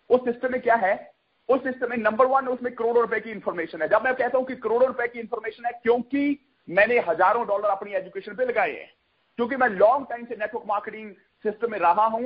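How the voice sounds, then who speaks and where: A man gives an emphatic, repetitive sales pitch on a conference call, mixing in English phrases and asking questions that he answers himself. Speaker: network marketing promoter hosting a conference call. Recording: dull, lo-fi conference call audio.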